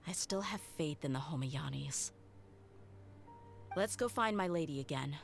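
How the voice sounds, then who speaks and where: Tough loyal young female bodyguard: low register, measured and frank, video game drama. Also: expressive, gravelly, exaggerated voice. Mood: resolute. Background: faint music.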